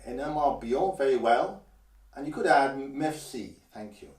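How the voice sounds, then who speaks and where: An older man from Guernsey teaches greetings patiently, pausing to say each phrase slowly and clearly. His voice is mid-pitched and sits back from the mic. A roomy echo surrounds him. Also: lively intonation, distant mic. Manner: measured, careful, slows down to model phrases. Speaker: language teacher. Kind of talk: instructional explanation.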